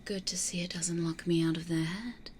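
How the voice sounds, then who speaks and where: A woman acts out a vampire lady for an ASMR roleplay. She is possessive and stubborn, with a lowish, hushed, dry delivery and a faint hiss behind her. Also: fairly even intonation, moderate pace, lightly acted. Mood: possessive, annoyed.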